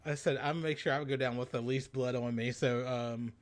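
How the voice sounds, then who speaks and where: A man co-hosting a podcast in casual, bawdy chat, telling stories in a sassy, animated way. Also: mid-range voice, brisk. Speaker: podcast co-host.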